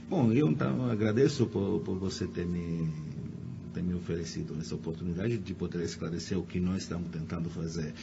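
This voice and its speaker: A man's voice: sits low, a little fast, gravelly. A man from Italy, speaking with an Italian accent, answers in a radio interview, often repeating words while he searches for the right Portuguese. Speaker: guest lecturer. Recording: muffled radio recording with faint noise.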